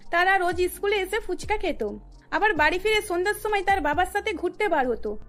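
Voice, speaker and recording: A woman with an extremely high voice narrates a scripted cartoon tale. Faint music runs beneath her storytelling. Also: expressive, fairly quick, fairly bright tone.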